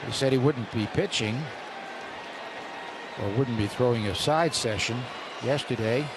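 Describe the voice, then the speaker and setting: A man calling live baseball play-by-play on the radio, building up on big plays and reading stats between pitches. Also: a little slow. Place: stadium broadcast booth. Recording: radio broadcast with loud crowd noise.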